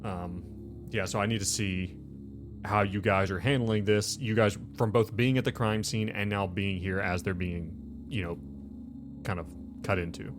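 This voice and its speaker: A man with a fairly low voice works as game master for a tabletop roleplaying session. He explains rules and scenes in casual, conversational language, over clearly audible background music. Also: steady tone, normal speed. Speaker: game master and show host.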